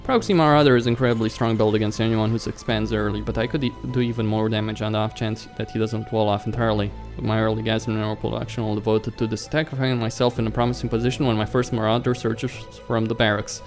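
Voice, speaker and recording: A man's voice: lowish voice, fairly even intonation, fast, few pauses. A man hosting a let's play, reading a visual novel script aloud and stumbling over some words. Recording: music behind the voice.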